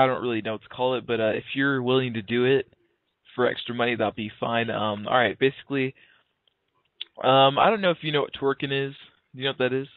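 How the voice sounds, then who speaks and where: A man's voice: medium pitch, no room sound. A man in his early twenties making a prank phone call in a casual, slangy, hesitant way, acting sincere. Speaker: prank caller. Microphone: telephone call. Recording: narrow, tinny phone line.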